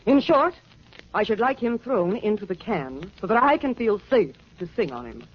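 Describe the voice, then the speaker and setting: A woman's voice: medium pitch, sing-song, normal speed, breathy edge. A woman playing a brassy, flamboyant, hot-tempered American actress in 1940s radio drama, mixing street slang with stilted formality. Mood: dramatic, insistent. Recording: muffled, noisy 1940s radio recording.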